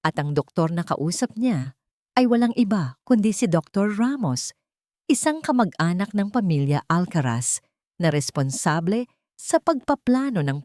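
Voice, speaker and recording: A woman's voice: fairly low pitch, darkish tone, no room sound, clean studio sound. Woman voicing a determined, accusing Filipina court advocate. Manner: formal, emphatic, accusing.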